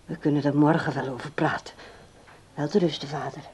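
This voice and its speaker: An actress playing an uneasy, self-interested adult daughter in a radio play, with a hesitant, stammering delivery. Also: female voice, sits low, steady tone, brisk, a crowd in the background, natural voice. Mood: evasive, probing.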